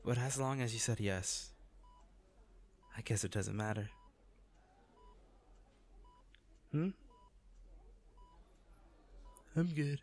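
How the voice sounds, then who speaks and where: A man playing an injured, devoted boyfriend in an intimate audio roleplay, speaking tenderly, earnestly and haltingly. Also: mid-range voice, expressive, a little mellow. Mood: relieved, playful. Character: injured, devoted boyfriend proposing from a hospital bed.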